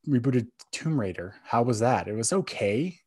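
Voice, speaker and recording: A man's voice: medium pitch, big pitch swings, moderate pace, a little mellow, slightly rough, no room sound, studio quality. A man hosting a podcast in casual chat about entertainment, speaking in a hesitant, think-aloud way. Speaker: podcast host.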